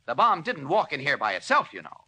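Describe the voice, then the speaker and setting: A man acting a forceful, secretly heroic newspaper reporter in a 1940s radio drama, urgent and commanding. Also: on the high side, wildly swooping pitch, brisk, slightly rough, heavily acted.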